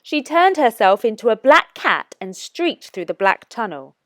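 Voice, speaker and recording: Very animated, high-voiced woman reading a storybook. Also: dry recording, studio quality. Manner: expressive, careful, deliberate phrasing. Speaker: children's storytime narrator. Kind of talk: scripted storybook read-aloud for young children.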